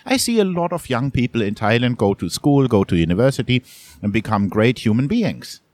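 A middle-aged man from Germany, a journalist being interviewed, talking in a halting way with many restarts and repeated words. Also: medium pitch, sing-song, darkish tone, no echo. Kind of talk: candid conversational interview.

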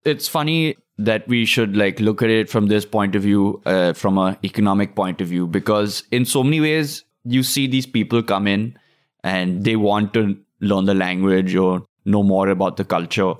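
A man's voice: lowish voice, moderate pace. A man hosting an interview, asking questions casually and often affirming with "yeah.". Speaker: interviewer host.

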